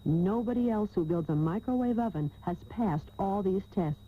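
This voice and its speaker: A woman's voice: medium pitch, expressive, moderate pace, breathy edge, narrow, tinny audio. An American woman announcing a scripted commercial on an old radio broadcast tape. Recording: phone-thin old radio tape, faint hiss.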